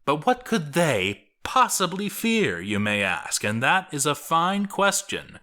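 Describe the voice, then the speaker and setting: A man reading a scripted story as a dramatic narrator, theatrical and deliberate, with pauses for effect. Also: fairly high pitch, huge pitch swings, sharp tone.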